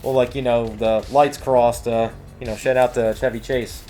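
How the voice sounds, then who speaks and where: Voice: mid-range voice, little pitch movement, moderate pace, dark tone, faint music behind. A co-host of a casual news show who rambles jokily through announcements, breaking into goofy exclamations. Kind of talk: casual comedic banter while reading event announcements.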